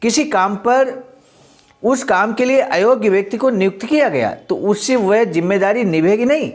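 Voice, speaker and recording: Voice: medium pitch, animated, normal speed. Didactic presenter reading and explaining a speech. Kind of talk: read-aloud speech with explanatory commentary.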